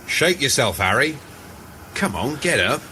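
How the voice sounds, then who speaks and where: A man's voice: medium pitch, big pitch swings, measured pace, pushed and bright, a little hiss or hum. An actor playing a stern soldier in a radio play, reproaching his comrade and commanding like a drill instructor. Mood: reproachful.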